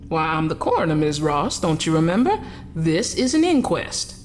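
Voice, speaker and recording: A woman playing a coroner who questions a witness calmly and with dry irony in an audio drama. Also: low-pitched, normal speed, soft background music. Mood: dryly ironic. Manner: calm, dry, ironic questioning. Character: coolly probing, sardonic coroner.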